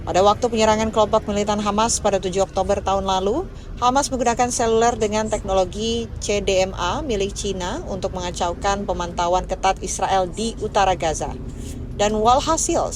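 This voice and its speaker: A woman reading a scripted news voice-over in a steady, formal way. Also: mid-range voice, background noise. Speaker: news narrator.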